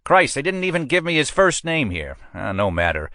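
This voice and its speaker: A man reads a blustering, pushy police captain who barks his orders in a raised, lively voice that swoops up and down. The sound is close and dry, with no room around it. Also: medium pitch, moderate pace, no room sound, voice pushed up. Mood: commanding. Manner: brusque, pushy, emphatic. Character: blustering middle-aged male police captain.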